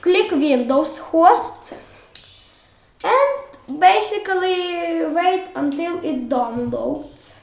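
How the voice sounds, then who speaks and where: A woman from Ukraine with a Ukrainian accent and a childish-sounding voice, talking through a software tutorial in a hesitant, halting way with many fillers. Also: very high voice, expressive, gravelly. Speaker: tutorial host. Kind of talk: screen-recorded software tutorial. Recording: echoey room.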